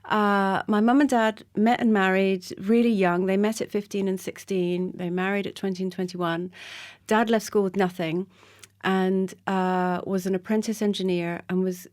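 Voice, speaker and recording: Woman in her fifties, podcast guest, mid-pitched storytelling, dry close mic. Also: fairly even intonation, normal speed, no room sound. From Trinidad, Scottish parents. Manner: warm storytelling, candid. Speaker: guest, TV presenter. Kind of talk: casual interview chat.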